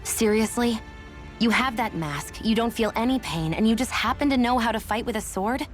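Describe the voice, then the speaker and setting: An actress playing a blunt, skeptical young adventurer in a video game, with a cool, probing delivery. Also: female voice, on the high side, lively intonation, moderate pace, lightly acted. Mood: skeptical, pressing. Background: background music.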